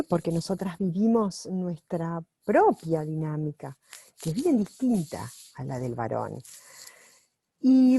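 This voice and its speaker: A woman reads aloud at home in a slow, warm, mellow voice. She translates a book as she goes, so she moves carefully and corrects herself now and then. The sound is close and dry. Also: mid-range voice, no echo. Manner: unhurried and careful, with pauses and self-corrections while reading. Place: at home, with her dog nearby.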